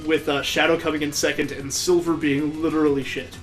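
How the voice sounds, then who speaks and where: A man's voice: fairly high pitch, flat delivery, fairly quick, a bit bright, faint music behind. A man hosting a let's play with casual, sarcastic and amused griping commentary on a video game.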